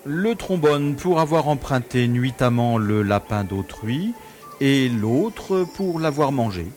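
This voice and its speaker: An actor playing a courteous prison director in an audio drama, with florid, polite phrasing and a matter-of-fact manner. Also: male voice, medium pitch, animated, slow, a little mellow, faint music behind. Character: courteous, genial prison director, proud of his inmates.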